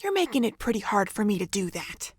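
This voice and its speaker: A woman's voice: mid-range voice, very animated, a little fast, slightly rough. A young woman playing an indignant, frightened bystander caught in a heist, exasperated and incredulous, in an amateur audio drama. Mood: wary.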